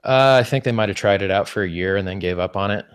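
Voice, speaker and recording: A man's voice: on the low side, fast, a little hiss or hum. A man in his thirties hosting a debate, laying out arguments point by point with frequent uhs. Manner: lists points methodically, fillers of uh. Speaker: host, debate moderator. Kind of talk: debate moderating. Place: ornate room with candelabra, indoors. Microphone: studio mic on arm.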